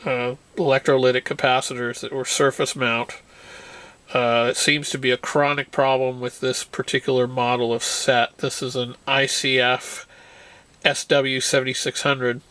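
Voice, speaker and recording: A man's voice: mid-range voice, level tone, moderate pace, pushed and bright, smooth, no echo. A man casually showing off and explaining shortwave radios he repaired, rambling and restarting his sentences as he talks through technical details. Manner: rambling, self-interrupting, technical. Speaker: radio hobbyist. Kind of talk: casual show-and-tell.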